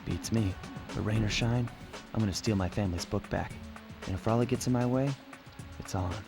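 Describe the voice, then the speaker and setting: A man as a cocky young raccoon thief, lowish voice, relaxed, confident, game cutscene, music behind. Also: brisk, natural voice. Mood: cool, determined. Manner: laid-back, confident.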